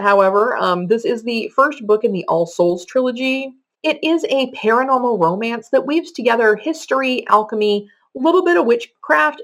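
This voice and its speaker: A woman with a mid-pitched voice presents as a podcaster. She explains things conversationally, working from loose notes in a countdown format. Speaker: podcaster giving book recommendations. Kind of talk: semi-scripted presentation, list countdown.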